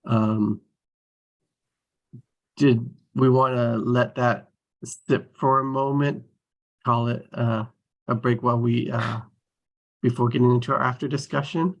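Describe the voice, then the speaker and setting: Gravelly man hosting casually. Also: mid-range voice, fairly even intonation, unhurried, pauses often, muffled recording. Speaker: table read host. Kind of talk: casual moderating talk.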